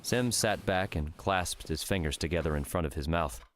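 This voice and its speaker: Deep-voiced male audiobook narrator. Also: moderate pace, a little hiss or hum. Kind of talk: scripted dramatic fiction narration.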